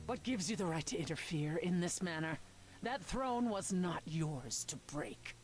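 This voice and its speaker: A woman's voice: fairly low pitch, expressive, a little slow, slight background noise. An actress playing an imperious, indignant goddess queen in a dramatic video game scene. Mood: indignant, cutting.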